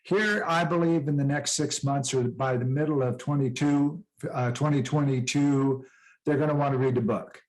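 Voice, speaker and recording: Casting: middle-aged American man, mid-pitched, rambling, full of "uh"s, chatting on a video call. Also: steady tone, poor audio. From USA. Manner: rambling, halting with many fillers and restarts. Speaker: guest, author and business coach. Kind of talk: casual interview talk.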